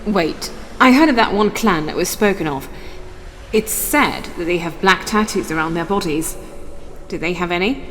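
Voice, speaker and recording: A woman acts out a vampire clan follower in a fantasy drama. Her voice sits a little low and she is brisk and inquisitive. Long reverb trails behind her over faint noise. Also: expressive, fairly bright tone. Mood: curious. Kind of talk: scripted fantasy voice acting.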